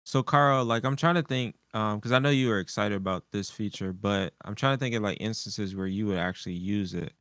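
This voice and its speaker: A man's voice: lowish voice, a little fast, hardly any pauses, fairly bright tone, dry recording, clean studio sound. A man co-hosting a casual chat, putting questions to the panel in an inquisitive way. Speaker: podcast co-host.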